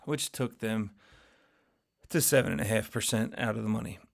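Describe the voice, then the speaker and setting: A man hosting a casual market recap, talking through trades in a rambling, conversational way with lots of fillers while his voice grows hoarse. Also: lowish voice, normal speed. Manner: conversational, rambling, many fillers and self-corrections. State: voice getting hoarse, losing his voice. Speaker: finance channel host.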